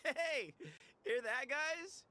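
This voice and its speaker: A man's voice: very high voice, extremely slow, sharp tone, slight background noise, heavily acted. A man playing a sly, mock-friendly desert gang leader in a video game, teasing and drawling theatrically. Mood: mocking, goading.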